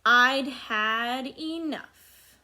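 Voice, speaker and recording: A woman voicing a dutiful, overburdened good egg in a children's storybook, with earnest, dramatic, drawn-out emphasis. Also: high-pitched, sharp tone, exaggerated voice. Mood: fed up.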